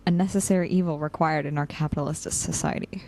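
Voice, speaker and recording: A young woman playing a dry, cynical, practical operative in an audio drama, with a deadpan, sardonic way of talking. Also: low-pitched, quick pace, creaky, a little hiss or hum. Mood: dry, bantering. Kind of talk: audio drama dialogue and log entries.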